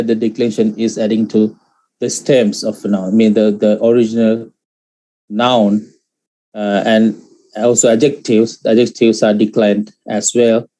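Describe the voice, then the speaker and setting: Slow, mellow man teaching grammar online. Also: lowish voice, rough recording. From Sri Lanka. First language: Sinhalese. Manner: slow and halting, with many fillers and restarts. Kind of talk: online lecture.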